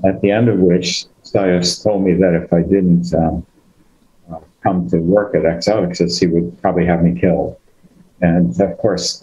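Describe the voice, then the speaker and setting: A man's voice: sits low, mellow, lo-fi sound. An older American man telling his life story on an online call, hesitant and full of fillers and restarts. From United States. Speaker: guest speaker. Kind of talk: conversational personal story.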